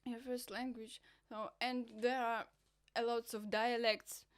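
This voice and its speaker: A woman with an Eastern European accent hosting a cross-cultural interview show, friendly and slightly halting. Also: a little high, little pitch movement. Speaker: host.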